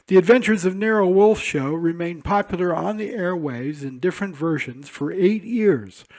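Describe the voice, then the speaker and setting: A man's voice: fairly high pitch, a little mellow. A man hosting a radio programme with scripted narration about broadcasting history.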